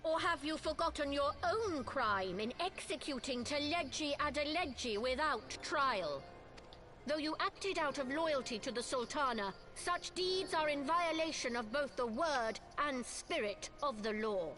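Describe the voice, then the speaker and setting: An actress voicing a composed, dignified noblewoman leader in a video game, speaking in a formal, measured, commanding way. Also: high voice, animated, bright, forceful tone, soft background music, full character voice. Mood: stern, calm. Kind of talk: video game cutscene acting.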